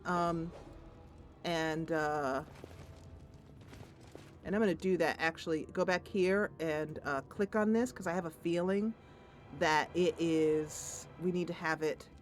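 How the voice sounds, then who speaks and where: Slow-paced enthusiastic woman gaming streamer. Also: on the low side, steady tone. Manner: enthusiastic, thinking aloud. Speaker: streamer playing a fantasy roleplaying computer game. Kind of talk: gameplay commentary mixed with dramatic narration.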